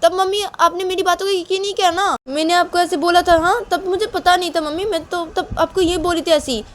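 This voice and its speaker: Young girl for story drama, played by a woman: very high, whiny, insistent, pleading; noisy recording. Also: steady tone, brisk. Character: pleading young girl protesting she tells the truth. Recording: clear background noise.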